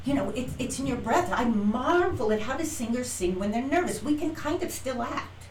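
A middle-aged woman, an actress and acting teacher, telling her story as an interview guest, animated and acting out quoted lines with frequent restarts. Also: sits high, moderate pace. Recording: roomy, distant from mic, faint noise.

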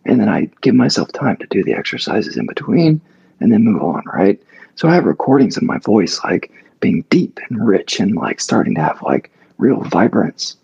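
A man's voice: on the low side, big pitch swings, moderate pace, gravelly, darkish tone, slightly rough, lo-fi sound. A male actor speaking as an interview guest, telling a long story casually with many fillers and restarts.

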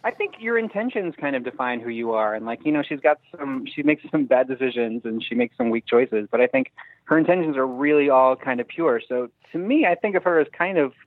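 Fast-talking man, dry slightly dull sound. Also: medium pitch, expressive, no room sound. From New York. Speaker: guest writer. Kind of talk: casual interview.

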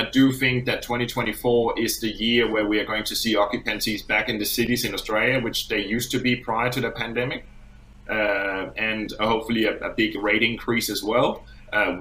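A man's voice: fairly low pitch, pushed and bright. A man from Denmark talking as an industry guest in a conversational interview, often repeating words as he thinks aloud. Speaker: hospitality industry expert guest. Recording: roomy, echoey sound.

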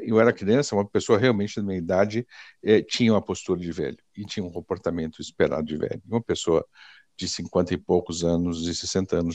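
A man of about sixty with a Brazilian accent, reflecting casually and personally, haltingly searching for his words. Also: deep voice, dark tone, no room sound. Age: around 60. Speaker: panelist.

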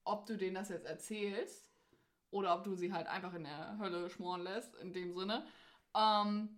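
A young adult woman co-hosting a podcast in casual, expressive and giggly conversation. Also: mid-range voice, normal speed. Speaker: podcast co-host.

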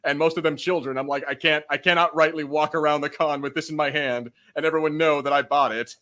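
A man co-hosting a podcast, telling stories in a casual, animated, self-deprecating way with some swearing. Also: a little high, fast talker. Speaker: podcast co-host. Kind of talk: casual banter, storytelling.

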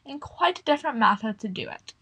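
A woman voicing a wise, weary old male chief mouse who speaks in a measured, grave way. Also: fairly high pitch, lively intonation, a bit bright, slightly rough, exaggerated voice.

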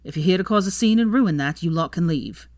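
A stern man in a story, standing up to an old friend, as read by a woman. The delivery is firm and forceful, recorded very dry. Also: fairly low pitch, expressive, fairly quick, no echo, natural voice. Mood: stern, rising anger. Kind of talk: dramatised fan fiction reading.

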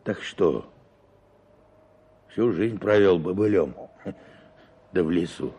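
Old watchman, humble, weary, rumbling bass, creaky gravel, folksy storytelling, radio play. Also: slow pace, warm tone, heavily acted. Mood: weary, homely. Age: older. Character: weary old peasant forest watchman, lifelong bachelor, humble. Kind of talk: radio drama acting. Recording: clearly noisy radio play recording.

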